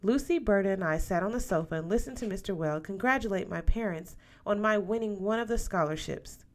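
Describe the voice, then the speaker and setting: A woman's voice: mid-range voice, a little fast. A woman reading a novel aloud as a scripted narration for young listeners. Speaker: audiobook reader.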